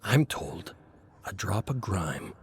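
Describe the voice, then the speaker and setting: A man voicing a cold, menacing agent in a story, interrogating with controlled, deliberate threats. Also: low voice, big pitch swings, slightly rough, exaggerated voice. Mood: quiet menace.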